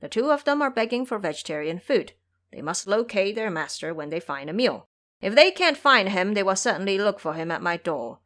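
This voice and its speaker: An old monster king of an audio drama, played by a woman, speaks with commanding menace and gloats over captives. The delivery swings widely in pitch, boastful and imperious. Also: very animated, brisk, a bit bright.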